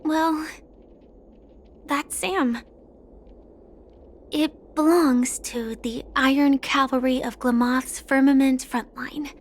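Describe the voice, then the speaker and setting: A woman playing a gentle, wistful young girl in a video game, speaking softly and earnestly in measured phrases. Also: high-pitched, steady tone. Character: gentle, wistful young girl who pilots a war mech. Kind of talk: video game dialogue acting.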